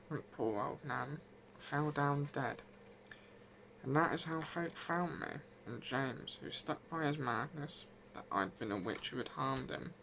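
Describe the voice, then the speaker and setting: Storybook ghost of an old country wise woman played by a man, gravelly, folksy, wronged, faint noise behind. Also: on the low side, pushed and bright, heavily acted, rough recording. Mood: wry. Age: 80s. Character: ghost of a gentle, wronged old village herbwoman. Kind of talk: first-person folk tale narration.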